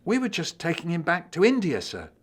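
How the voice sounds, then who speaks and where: Timid young schoolboy, man voicing, hesitant, slow. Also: on the high side, wildly swooping pitch, husky, voice pushed up, exaggerated voice.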